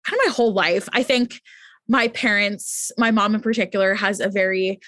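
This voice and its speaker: A woman's voice: medium pitch, normal speed, a bit bright, dry recording, clean studio sound. A woman in her thirties talking as a guest over a video call, chatty and upbeat, with lots of casual fillers. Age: 30s. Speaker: guest, mental health advocate.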